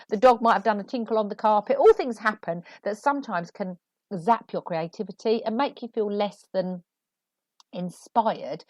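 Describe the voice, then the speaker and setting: A woman hosts a solo podcast and talks through writing tips in a chatty, animated way. She is recovering from a cold and sounds a little sniffly. Her pitch rises and falls a lot, and the recording is dry and close. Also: dry recording, muffled recording. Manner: chatty and animated, laughing asides. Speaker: podcast host and author. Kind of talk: solo podcast advice talk.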